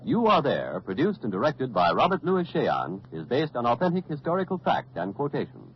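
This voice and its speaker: A man's voice: medium pitch, huge pitch swings, fairly quick. A man announcing scripted narration in a dramatic, portentous 1940s radio style. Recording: dull old 1940s radio recording, faint noise.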